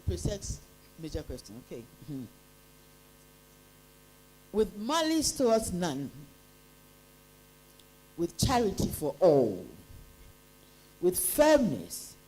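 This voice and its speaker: A woman acting as quiz master, reading each contest question out deliberately and then repeating it. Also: on the low side, very animated, dark tone, poor audio. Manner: deliberate, reads each line twice.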